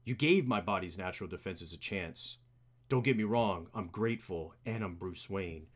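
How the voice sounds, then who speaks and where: A man's voice: sits low, moderate pace, pitched below the performer's own voice. A man voicing a brooding young rich comic-book hero in a terse, serious manner. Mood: earnest, skeptical.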